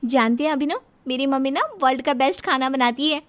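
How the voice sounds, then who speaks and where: A woman's voice: high-pitched, steady tone, fast talker, a bit bright, voice pushed up. A woman voicing a cheerful, chatty young newlywed wife in a cartoon story, bubbly and eager. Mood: excited, cheerful. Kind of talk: animated family story dialogue.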